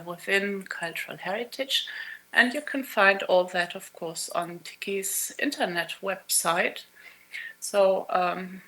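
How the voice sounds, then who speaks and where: A woman's voice: fairly low pitch, sharp tone, rough recording. A middle-aged woman, not a native English speaker, giving a keynote conference presentation with many hesitations and fillers. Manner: hesitant, many ums and uhs. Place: conference hall.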